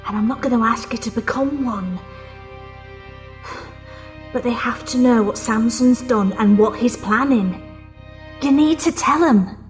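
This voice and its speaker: A woman's voice: a little high, unhurried, pauses often, faint music behind, natural voice. An actress voicing a scarred female resistance fighter who talks in an easygoing, wry way and laughs easily. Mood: earnest. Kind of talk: audio drama acting.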